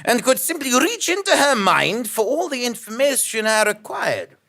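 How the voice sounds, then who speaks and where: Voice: mid-range voice, big pitch swings, moderate pace, heavy background noise. An actor playing a gleeful mind-reading villain who narrates in a theatrical, relishing way for a live audio drama. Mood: gleeful, menacing.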